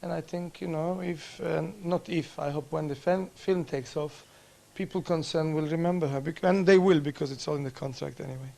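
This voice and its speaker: A cheerful, energetic actor from Turkey, talking casually in an on-set interview. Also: male voice, fairly high pitch, normal speed, vocal fry, few pauses. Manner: cheerful, energetic, self-assured. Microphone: camera microphone.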